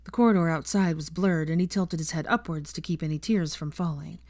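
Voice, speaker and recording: A woman reading fiction aloud as an audiobook narrator, in an expressive scripted style. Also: deep voice, fairly quick, dry recording, studio quality.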